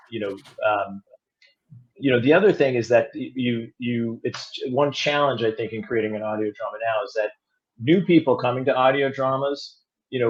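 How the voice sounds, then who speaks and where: A man talking casually as an interview guest, explaining things thoughtfully and haltingly, with frequent restarts. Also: mid-range voice. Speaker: audio drama producer and director, interview guest. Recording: dull, lo-fi audio.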